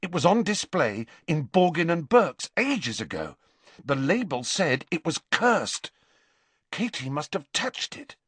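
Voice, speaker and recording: A man voicing an urgent, forceful teenage schoolboy wizard in a storybook reading. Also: fairly high pitch, big pitch swings, measured pace, breathy edge, dry recording, voice pushed up, exaggerated voice. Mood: intent, serious.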